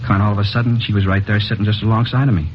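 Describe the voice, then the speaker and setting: A man's voice: fairly low pitch, steady tone, a little hiss or hum, natural voice. An actor playing a nervous, evasive young man who has come to confess a murder in a 1950s radio drama. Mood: uneasy. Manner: halting, insistent, repeats himself. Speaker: murder suspect. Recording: dull old radio broadcast recording.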